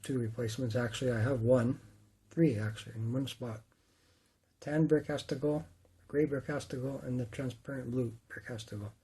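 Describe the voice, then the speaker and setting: Rough-voiced man rambling casually. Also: mid-range voice, fairly even intonation, moderate pace, warm tone, rough recording. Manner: rambling, self-correcting. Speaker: hobbyist showing his model city. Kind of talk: casual talk-through.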